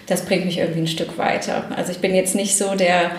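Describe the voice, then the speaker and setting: A woman's voice: on the low side, little pitch movement, fast. Thoughtful woman guest, echoey room. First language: German. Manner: thoughtful, fluent with frequent fillers. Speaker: guest, press spokesperson. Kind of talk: casual interview answers.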